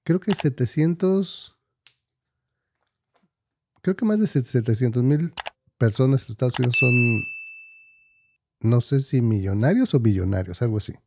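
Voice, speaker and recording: A man's voice: mid-range voice, expressive, a little slow, gravelly, pauses often, background noise. A man in his thirties with a Mexican Spanish accent, a podcast co-host who argues skeptically and reasons through examples. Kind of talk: heated casual debate. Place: small studio with foam panels. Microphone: studio mic, headphones.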